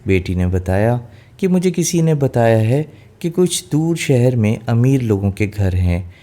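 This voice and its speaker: A man's voice: on the low side, mellow. A man narrating a scripted fairy tale as a storyteller.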